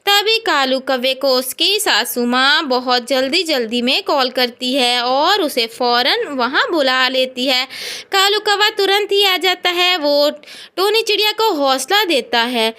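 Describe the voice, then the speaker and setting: A woman narrating a scripted children's story in a drawn-out storytelling manner. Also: high voice, expressive, pushed and bright.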